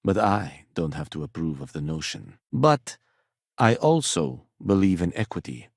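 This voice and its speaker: A man's voice: low voice, sing-song, measured pace, creaky, breathy edge, no echo, heavily acted. A man voicing a fussy, punctual corporate office worker in a video game, speaking precisely and formally with dry condescension. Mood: measured, reflective.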